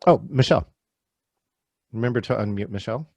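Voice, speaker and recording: A man's voice: on the low side, brisk, a little mellow, no echo. A man hosting an online workshop on a video call, calling on attendees by name in a friendly way. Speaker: virtual meeting trainer.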